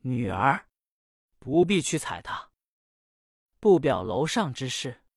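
A woman's voice: a bass voice, very animated, slow pace, slightly rough, no echo, studio quality, voice pushed down, exaggerated voice. A woman voicing an anxious mother. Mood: coaxing. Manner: anxious, coaxing. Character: worried middle-aged mistress of house, a mother.

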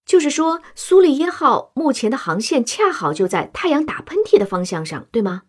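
Radio drama news anchor: thirties, earnest and formal, melodic swings in pitch. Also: female voice, very animated, normal speed. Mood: inquiring. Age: 30s. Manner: formal, crisp announcer delivery.

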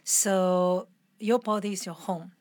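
A woman with a mixed international accent talking as an interview guest, reflective, earnest and expressive in a conversational style. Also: mid-range voice, slow pace, no echo.

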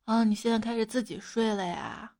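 A woman's voice: fairly high pitch, fairly quick, darkish tone, no room sound, lightly acted. A woman playing a playful, teasing mother who coaxes a stubborn child in a comic bedtime sketch. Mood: fond, amused. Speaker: storyteller and performer. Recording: music behind the voice.

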